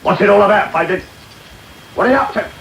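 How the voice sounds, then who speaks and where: An actor playing a brutal housebreaker in a radio drama, rough, bullying and sneering. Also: male voice, high voice, quick pace, fairly bright tone. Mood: angry, sneering. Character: gruff, brutal, insulting male housebreaker. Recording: noisy medium-wave radio tape.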